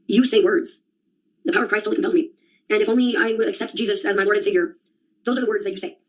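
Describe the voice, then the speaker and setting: A woman's voice: mid-range voice, very fast, rough recording. A young adult woman in a rambling solo monologue, sardonic and profane, jumping from one topic to the next. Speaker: vlogger.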